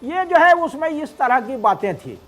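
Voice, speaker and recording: Man cleric on a news interview, unusually high voice, explains stuff wordy and halting, repeats filler words a lot. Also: sing-song.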